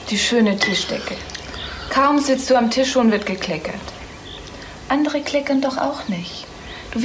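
A woman's voice: on the high side, close to an everyday voice. An actress playing a fussy, prim and insistent mother and hostess in a radio play. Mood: scolding. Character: fussy, polite hostess mother, scolding her small son. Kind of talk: German radio play dialogue. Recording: noisy recording with room ambience.

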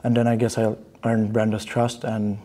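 Young man, from Bulgaria, mellow warm tone, halting casual answers, studio interview. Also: on the low side. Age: young adult. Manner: relaxed, halting with fillers and restarts. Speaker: student athlete interviewee. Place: studio in front of a banner.

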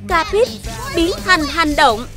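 A woman's voice: very high-pitched, lively intonation, slow pace, exaggerated voice. A woman voicing a brave, eager young boy who leads a hero team in a cartoon dub. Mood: commanding, excited. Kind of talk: cartoon dubbing. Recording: loud music under the voice.

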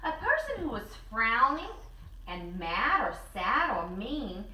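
A woman co-hosting a story time, reading a picture book aloud in an expressive, deliberate way with drawn-out words. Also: on the high side. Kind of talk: animated children's story read-aloud. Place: small living room with fireplace and bookshelves. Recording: roomy, slightly distant camera mic.

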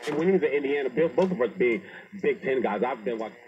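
A man's voice: medium pitch, expressive, fast talker, darkish tone. A man giving live sports commentary, heard through the small speaker of an old radio. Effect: played through an old radio speaker. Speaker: sports broadcaster. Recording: dull old radio speaker, faint noise.

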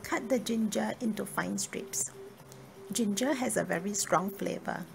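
A woman reading a scripted cooking tutorial in a measured, step-by-step instructional manner. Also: mid-range voice, creaky. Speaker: cooking video narrator. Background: music.

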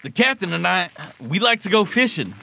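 An actor playing a loyal, earnest and courteous young soldier in an audio drama. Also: male voice, sits high, sing-song, moderate pace, gravelly, sharp tone, exaggerated voice. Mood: friendly.

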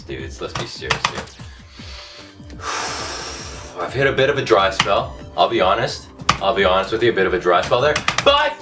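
A man in his thirties doing comedic game commentary at home, cocky and self-hyping, going hoarse as he swings from low mutters to shouted outbursts. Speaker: comedy gaming host. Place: home room, indoors. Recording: somewhat distant mic, loud game music behind.

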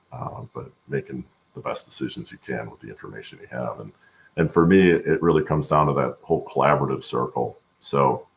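A middle-aged man with a gravelly voice answering interview questions conversationally on a video call from home, haltingly thinking aloud. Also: a bass voice. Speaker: guest, supply chain expert and voice-over announcer.

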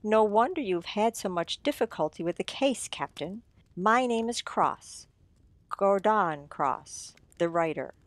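A woman playing a suave mystery writer in a radio drama, telling the tale in a deliberate, teasing, theatrical manner. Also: medium pitch, lively intonation, no echo, lightly acted. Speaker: audio drama actor. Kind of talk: old-time radio mystery narration.

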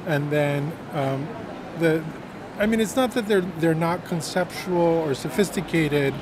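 Man talking fast and eager about art, kinda high voice, noisy hall behind. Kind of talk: casual conversation. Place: large indoor art fair hall.

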